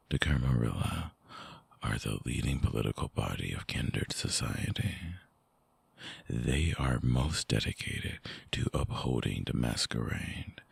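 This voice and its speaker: Man playing a very deep, gravelly vampire lover. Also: no room sound, heavily acted. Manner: slow, halting, softly explanatory. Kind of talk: intimate ASMR roleplay audio drama.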